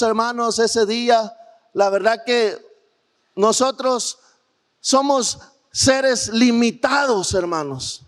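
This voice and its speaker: A male missionary preacher with a very high-pitched voice delivers a sermon in a church hall with long reverb. He tells his testimony earnestly, addressing the congregation directly and asking them rhetorical questions.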